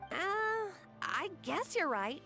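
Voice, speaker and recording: A woman's voice: very high voice, sing-song, slow pace, heavily acted. A woman voicing a caring, slightly anxious young arena organizer in a video game. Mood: hesitant, worried. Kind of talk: video game character acting. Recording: loud game music behind the voice.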